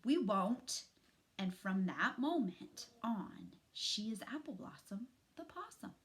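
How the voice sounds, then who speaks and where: A middle-aged woman reading a story aloud to children in an expressive, deliberate manner. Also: mid-range voice. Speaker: children's story reader, teacher. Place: small room at home, indoors.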